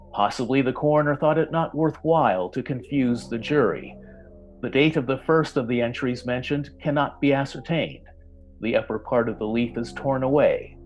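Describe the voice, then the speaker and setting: A man narrating a scripted tale in the style of an old time radio drama, with measured, grave storytelling. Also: medium pitch, dull audio, slight background noise.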